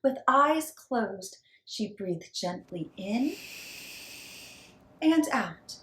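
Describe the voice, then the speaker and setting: A woman reading a children's story aloud in an expressive, theatrical and deliberate way. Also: fairly high pitch. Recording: roomy echo with background noise.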